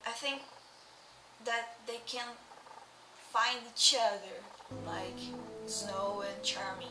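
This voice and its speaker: A woman's voice: mid-range voice, fairly even intonation, slow, over music. A young woman of twenty with a Brazilian Portuguese accent, speaking carefully phrase by phrase in an interview. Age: 20s. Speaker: fan interviewee. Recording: echoey room, distant mic.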